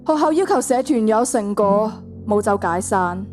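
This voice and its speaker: A woman's voice: mid-range voice, animated, normal speed, music in the background, lightly acted. A teenage girl playing an earnest, emphatic schoolgirl club leader in a radio drama. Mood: grave.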